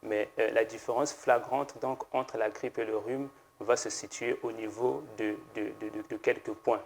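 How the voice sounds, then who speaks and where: A man, a pharmacist guest on a TV studio set, explaining slowly and hesitantly with many fillers and restarts. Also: lowish voice, steady tone, creaky, a little mellow, a little hiss or hum. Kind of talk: explanatory TV interview answers.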